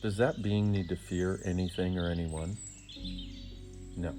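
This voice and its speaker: Deep-voiced man guiding a meditation. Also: steady tone, music in the background. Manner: soothing, deliberate, with short phrases and long pauses. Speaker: meditation guide. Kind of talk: guided meditation.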